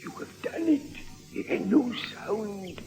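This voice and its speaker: A man playing a mad, excitable old conspirator in an old-time radio drama, speaking in an insistent theatrical manner. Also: sits high, slow, soft background music, exaggerated voice. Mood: agitated. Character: mad old brother, eager conspirator hungry for gold. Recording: muffled, band-limited old radio recording.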